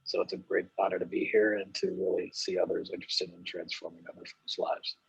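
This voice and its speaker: Low husky man on a dull call. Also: completely flat delivery, no echo. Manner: earnest, halting with fillers. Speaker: panelist, bakery leader. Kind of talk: webinar panel introduction. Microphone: video call. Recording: dull lo-fi video call audio.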